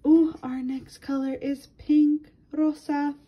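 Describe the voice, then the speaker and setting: A woman's voice: high voice, flat delivery, slow pace, highs cut off. A woman reading a picture book aloud to children in a sing-song manner, addressing her young listeners directly.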